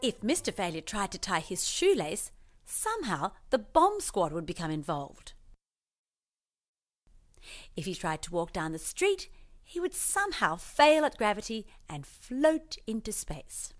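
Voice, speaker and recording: A woman reading a scripted children's storybook as parody, expressive and mock-earnest in her storytelling. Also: mid-range voice, unhurried. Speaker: storybook narrator.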